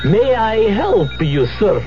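A man playing a polite, gently questioning shop clerk in a comic radio ad. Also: high voice, sing-song, takes it slowly, full character voice. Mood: polite, amused. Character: prissy, soft-spoken male shop clerk in a comic ad. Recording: dull old radio broadcast with music.

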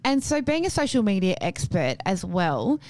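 A woman's voice: mid-range voice, very animated, moderate pace, darkish tone, dry recording. A woman presenting a radio show in an upbeat way, introducing a guest and asking questions. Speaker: radio host.

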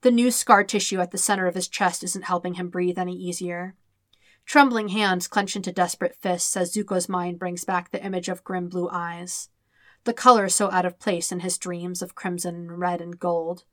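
A woman's voice: fairly low pitch, steady tone, moderate pace, no room sound, studio quality. A woman narrating a story in a dramatic, scripted audiobook style. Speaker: fan fiction narrator.

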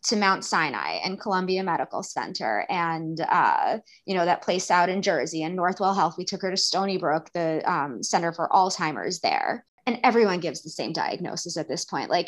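A woman, speaking as a guest in a candid interview, earnest and animated, acting out bits of dialogue as she talks. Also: lowish voice. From New York. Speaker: guest, daughter and caregiver.

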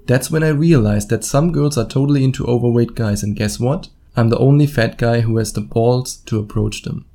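Male solo podcaster, German accent, soft mellow timbre, casual and blunt coaching monologue. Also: mid-range voice, a little fast. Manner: informal, blunt, swears casually, acts out imagined quotes. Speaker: podcast host and dating coach.

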